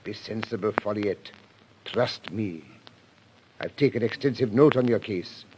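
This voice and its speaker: An actor playing a firm, accusing psychiatrist with a measured, authoritative manner in an old-time radio thriller. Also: male voice, on the low side, darkish tone, natural voice. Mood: stern, accusing. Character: firm, accusing male psychiatrist confronting his patient. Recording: noisy, clicking vintage radio recording.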